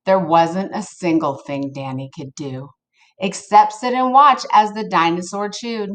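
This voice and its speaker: A woman reading a picture book aloud to children in an expressive, playful way, with casual asides to her listeners. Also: lowish voice, unhurried. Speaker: storybook reader for children.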